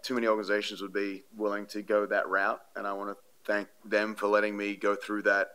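Guy in his 30s at a press conference mic, rambling earnest answers, sharp bright voice, pretty monotone. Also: lowish voice, clean tone. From Australia. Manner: rambling, many fillers and restarts, earnest. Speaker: baseball pitcher at a postgame press conference. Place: press room before a sponsor backdrop. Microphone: podium microphones.

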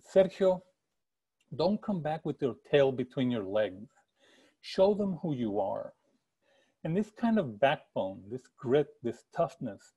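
Middle-aged man telling stories, mellow voice. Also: mid-range voice, husky. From El Paso area, Texas. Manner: earnest, gestures a lot, frequent restarts. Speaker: author giving a book talk. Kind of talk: personal storytelling. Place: attic room at home. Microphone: video call with earbuds.

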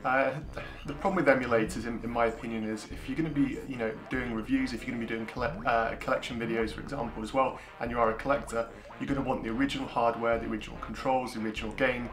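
Young male vlogger, deep voice, flat delivery, echoey room, guitar music under. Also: fast talker. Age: young adult. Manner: frequent ums, steady explaining tone. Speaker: retro gaming YouTuber. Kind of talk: casual tutorial talk to camera. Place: small indoor room. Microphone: camera-mounted shotgun mic. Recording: roomy echo with guitar music behind.

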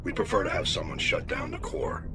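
Very deep, stern older admiral. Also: male voice, huge pitch swings, a little fast, loud music behind the voice. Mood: grave, commanding. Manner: authoritative, urgent briefing. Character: stern, commanding older male military admiral. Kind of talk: video game mission briefing.

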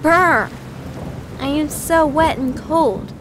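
A woman voicing a lonely young duckling in an animated fairy tale. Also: high-pitched, slow pace, slight background noise, rough recording. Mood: wistful.

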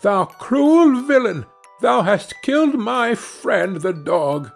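A man voicing a high-pitched little female storybook sparrow. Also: very animated, takes it slowly, creaky, soft background music, exaggerated voice. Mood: fierce, vengeful. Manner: emphatic storybook delivery. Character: small female sparrow, helpful to friends, fiercely vengeful.

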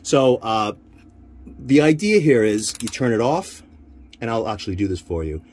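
A man giving an informal hands-on equipment demonstration, haltingly thinking aloud as he handles the gear. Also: mid-range voice, lively intonation, a little mellow. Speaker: radio hobbyist demonstrating equipment. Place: inside a military-style off-road vehicle. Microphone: handheld camera, one hand free. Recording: noisy handheld camera audio.

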